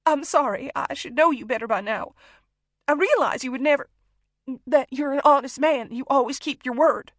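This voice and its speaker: A woman narrator playing a frightened female doctor held hostage in an audiobook, pleading desperately in halting, breathless bursts. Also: sits high, dry recording, pitched above the performer's own voice, lightly acted. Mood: desperate, placating. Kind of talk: audiobook character acting.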